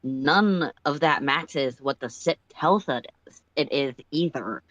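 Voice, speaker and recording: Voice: medium pitch, slow pace, fairly bright tone, dry recording, muffled recording. A storyteller voicing a blunt, bossy male alien spaceship crewmate, curt and sardonic in manner. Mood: argumentative. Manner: curt, sardonic, matter-of-fact.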